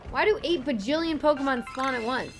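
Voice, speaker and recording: A woman streaming a game live, chatting with viewers and reacting excitedly, her voice worn out and tired. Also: sits high, a little fast, soft background music. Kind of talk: casual live-stream commentary.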